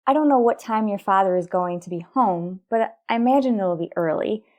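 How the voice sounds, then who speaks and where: A woman playing a worried but composed mother and wife in an old-fashioned radio soap, with proper, deliberate phrasing. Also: medium pitch, a little mellow, natural voice. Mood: gently coaxing.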